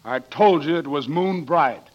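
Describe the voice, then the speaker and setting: An actor playing a defensive, lying witness in a 1940s radio drama, curt and apt to stammer under pressure. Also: male voice, a little high, a little slow. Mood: curt, guarded. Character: defensive, lying male murder witness under cross-examination. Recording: dull, band-limited 1940s radio recording.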